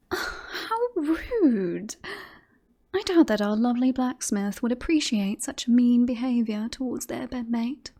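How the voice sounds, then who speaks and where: A woman acts out a romantic, self-satisfied royal in a fantasy ASMR roleplay. The voice is fairly high and mellow, very slow, with sultry teasing and whispery, sighing phrases. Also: animated, full character voice. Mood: playfully indignant. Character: flirtatious, smug, romantic royal teasing a beloved knight.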